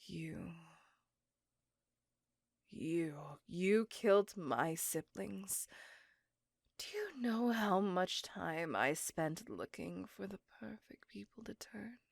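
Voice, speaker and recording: A woman voicing an intense, possessive, centuries-old female vampire in a dramatic, breathy ASMR roleplay, often dropping into a whisper. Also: medium pitch, lively intonation, takes it slowly, pauses often, no room sound, studio quality, heavily acted. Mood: furious, accusing.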